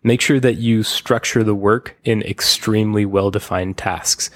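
A man in casual conversation, speaking candidly about his work with relaxed asides. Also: lowish voice, unhurried. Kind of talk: casual chat.

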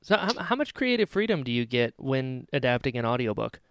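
Man, podcast host, smooth clear voice, medium pitch, casual chat, agreeable short replies. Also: big pitch swings, brisk. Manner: agreeable, brief affirming responses.

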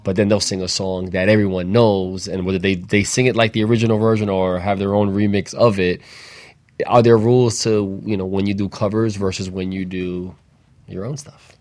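Male talk co-host, deep voice, conversational, halting and repetitive when making a point. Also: flat delivery, darkish tone, no echo. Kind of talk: casual group discussion.

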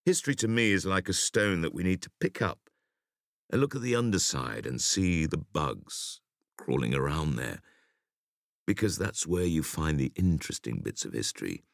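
A man with an extremely low voice reads scripted interview answers for an audiobook. His delivery is measured and emphatic, with lively rises and falls and a gravelly creak. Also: no echo, clean studio sound. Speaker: audiobook reader.

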